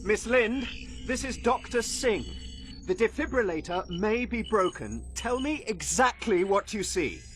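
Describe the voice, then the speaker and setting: A man playing a doctor calmly guiding an emergency from afar in a video game. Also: high-pitched, unhurried, soft background music, heavily acted. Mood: calm, urgent. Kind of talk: dramatic video game voice acting.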